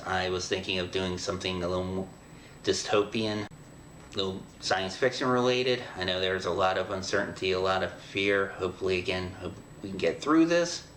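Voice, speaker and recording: A middle-aged man with a fairly low voice introduces his show in a casual, rambling way. He speaks in halting, conversational phrases. The room echoes noticeably around him, with a faint layer of noise behind. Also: a bit bright. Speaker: host of an audio drama show. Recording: echoey room with background hiss.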